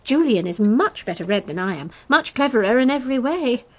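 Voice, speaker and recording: An actress playing a kindly, earnest, self-doubting English vicar's wife in a radio drama, polite and a little hesitant. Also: female voice, on the high side, big pitch swings, brisk, darkish tone. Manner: polite, hesitant, well-meaning.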